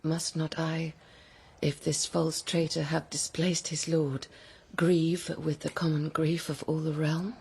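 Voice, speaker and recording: Grieving, remorseful queen for verse radio drama: very low, husky-breathy, measured and solemn. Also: level tone, darkish tone, full character voice. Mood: bitter grief. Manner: solemn archaic verse delivery. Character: grieving fugitive queen hiding among nuns, stately and remorseful.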